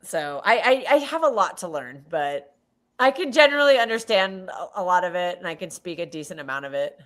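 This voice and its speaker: Chatty American woman with lively pitch. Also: a little fast. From United States. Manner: chatty, frequent restarts and swearing. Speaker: co-host of a live football watch-along stream. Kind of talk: casual chat.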